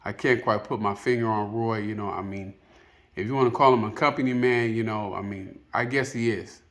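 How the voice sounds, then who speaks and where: A man's voice: lowish voice, steady tone, fairly quick, a bit bright. A man giving casual, unscripted opinion commentary as a video host, rambling and hesitant, often padding with filler phrases. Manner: hesitant, rambling, peppered with "you know".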